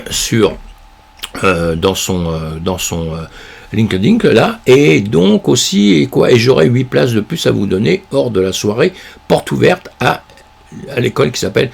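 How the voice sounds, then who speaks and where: A man with a very low, gravelly voice, hoarse and congested from a cold. He chats loosely through a news roundup, full of fillers and restarts. His voice sounds husky and rough. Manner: rambling, with many fillers and restarts; chatty, addresses viewers as friends. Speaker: crypto news commentator. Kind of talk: casual commentary on a news roundup.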